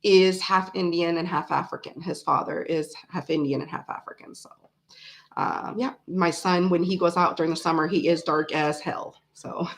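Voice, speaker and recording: A woman's voice: fairly low pitch, steady tone, normal speed. A woman in her forties, raised in Florida and living in Canada, with a mixed American and Canadian accent, chatting loosely on a livestream and answering comments with lots of fillers and restarts. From Canada, raised in South Florida. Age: 40s. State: tired. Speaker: livestream host. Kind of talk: casual chat reading live comments.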